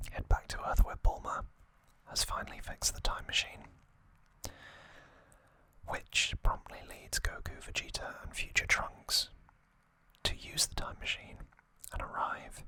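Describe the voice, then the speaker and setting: An ASMR host speaks entirely in a breathy whisper with a bright, crisp edge. The delivery is very slow and informal, arriving in short, halting phrases as in a relaxed recap for listeners.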